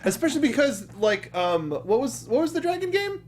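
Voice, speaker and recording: A man in a casual panel chat about video games, an animated, emphatic co-host who likes to act out quips. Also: very high-pitched, brisk, slight background noise. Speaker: podcast co-host.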